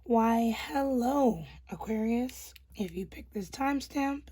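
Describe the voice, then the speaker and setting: A young woman talks through a tarot reading in a casual, reassuring way and pauses often on ums. Her voice sits a little high and sounds hoarse and worn from overuse. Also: expressive. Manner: gentle, reassuring, with many ums. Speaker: tarot reader.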